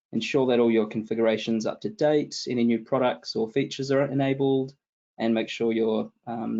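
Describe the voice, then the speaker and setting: A man in his thirties with a New Zealand accent, calmly explaining setup steps on a video call. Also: mid-range voice. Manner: steady, explanatory, starts answers with "absolutely". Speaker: support consultant, guest. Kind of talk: webinar explanation. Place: home room with bookshelf, indoors. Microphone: video call, laptop mic. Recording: dull lo-fi video call audio.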